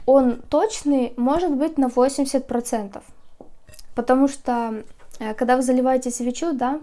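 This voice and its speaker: Young woman, casual vlogger; sleepy morning voice, high-ish and mellow, chatty with soft laughs. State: just woken, slightly rough voice. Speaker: candle maker vlogger. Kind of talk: casual how-to vlog.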